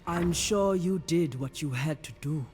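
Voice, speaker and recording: A woman playing a wise, commanding priestess in a fantasy game, speaking in a solemn, measured way. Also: low-pitched, darkish tone, faint music behind. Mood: solemn, assured. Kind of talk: cinematic fantasy game acting. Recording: long hall-like reverb.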